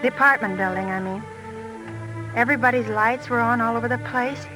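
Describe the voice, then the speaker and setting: An actress playing an unsettled, wistful pilot's wife who narrates a wartime radio drama in the first person. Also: female voice, on the high side, fairly quick, natural voice. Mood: wistful, reflective. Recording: restored 1940s radio recording with music.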